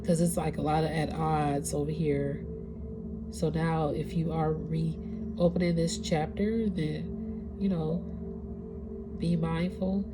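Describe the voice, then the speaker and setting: A woman's voice: low voice, little pitch movement, slow pace, pauses often, over loud music. A woman with a stuffy, congested nose, giving a casual spiritual reading to her audience and now and then searching for the right word. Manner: casual, conversational, searching for words. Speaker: tarot reader.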